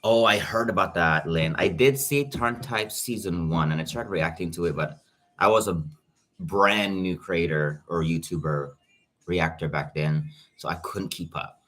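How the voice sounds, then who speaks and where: A man's voice: deep voice, measured pace, a bit bright. A young man with a Filipino accent chatting casually on a livestream, greeting viewers by name as he talks. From Philippines. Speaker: YouTube reactor.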